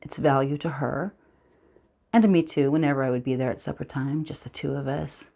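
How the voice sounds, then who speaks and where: Somber, hushed storyteller, acted by a woman. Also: extremely low pitch, vocal fry, voice pushed down, exaggerated voice. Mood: wistful, brooding. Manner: slow, hushed, halting, deliberate. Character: somber storyteller recalling a farm childhood. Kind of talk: dramatic film monologue.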